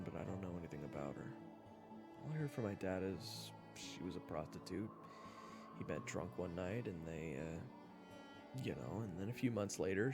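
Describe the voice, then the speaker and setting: A man playing an earnest, candid human traveler in a fantasy audio roleplay, speaking casually and expressively. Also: lowish voice. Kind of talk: audio roleplay acting with first-person narration.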